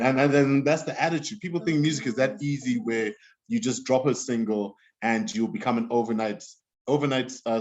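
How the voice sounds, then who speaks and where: A man from Zimbabwe, a guest in his thirties, talking casually in an interview and often restarting his sentences with fillers. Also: medium pitch. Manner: rambling, many restarts and fillers. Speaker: interview guest, music executive. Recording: dull lo-fi sound.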